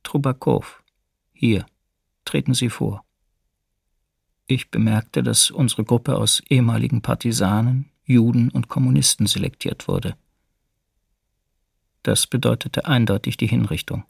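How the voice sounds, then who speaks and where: A man's voice: mid-range voice, a little slow, vocal fry, pauses often, slightly rough, no room sound, studio quality, full character voice. An actor playing a grave, watchful male camp prisoner recounting wartime horror in a radio documentary drama. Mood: grave, tense.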